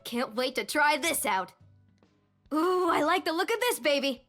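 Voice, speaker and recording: Small female game creature, high voice, lively swings, brash, gung-ho, excitable gunner. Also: a little slow, faint music behind. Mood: excited. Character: small feisty reckless female yordle cannoneer who loves explosions. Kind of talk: video game character voice lines.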